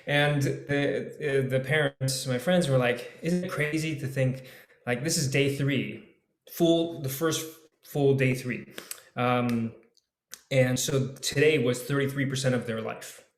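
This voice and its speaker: A man speaking reflectively in a panel discussion, halting and circling back as he thinks aloud, like an actor sharing a personal view. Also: medium pitch. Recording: roomy, echoey video call sound.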